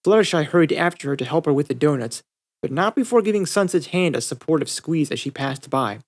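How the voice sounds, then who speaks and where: A man's voice: fairly high pitch, animated, fairly quick. A man reading scripted fiction aloud as an audiobook narrator.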